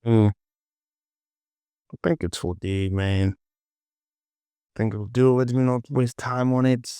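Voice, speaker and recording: A man hosting a casual software tutorial, thinking aloud and muttering repetitively to himself as he works. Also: medium pitch, steady tone, slow, smooth, dry recording. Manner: muttering, repetitive self-talk. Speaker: 3D modeling tutorial host.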